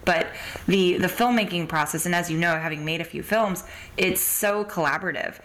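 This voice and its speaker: Bright, lowish-voiced woman hosting casually. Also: moderate pace, poor audio. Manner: agreeable, encouraging. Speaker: podcast host. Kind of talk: casual conversational interview.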